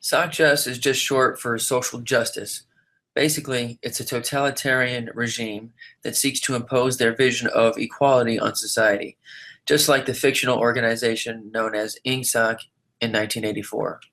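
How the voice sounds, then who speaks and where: A man reading written interview answers aloud in a measured, articulate, declarative way. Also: mid-range voice, fairly even intonation, a bit bright, low-quality recording. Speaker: reader of an interviewee's typed answers.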